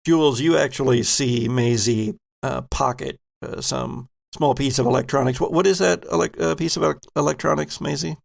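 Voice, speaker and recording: A man acting as game master of a tabletop roleplaying game, calling for rolls and describing what the players see in a steady, directive way. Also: mid-range voice, normal speed, vocal fry, few pauses.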